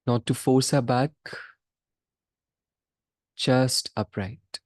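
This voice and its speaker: Guy teaching guided meditation, really unhurried, lots of pauses, mid pitch voice, no room echo. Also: fairly even intonation, clean studio sound. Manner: measured, pausing between short phrases.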